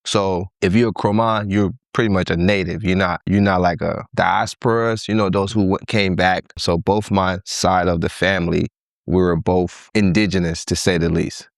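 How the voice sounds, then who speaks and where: Podcast guest, man in his thirties, from Liberia, lower voice, laid-back, dry sound. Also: fairly even intonation, normal speed, vocal fry, no echo. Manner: laid-back storytelling, laughs easily. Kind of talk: casual interview conversation.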